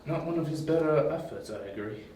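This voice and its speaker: A man playing a polite, controlled crime boss with formal, measured manners in an amateur audio drama. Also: fairly high pitch, animated, darkish tone, natural voice. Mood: businesslike. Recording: echoey room, faint noise.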